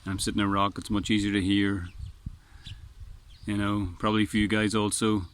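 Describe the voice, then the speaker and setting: Man, low strong voice, rambling earnest vlog delivery, recorded outdoors by the sea with faint wind behind him. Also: little pitch movement, normal speed, a bit bright. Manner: rambling, earnest, frequent restarts. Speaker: vlogger. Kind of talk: casual spoken reflection. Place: outdoors on a windy beach.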